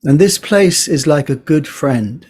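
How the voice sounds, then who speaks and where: Slow-spoken man guiding meditation. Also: on the high side, expressive, husky. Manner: gentle, unhurried, pausing between short phrases. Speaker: meditation teacher. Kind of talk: guided body scan meditation.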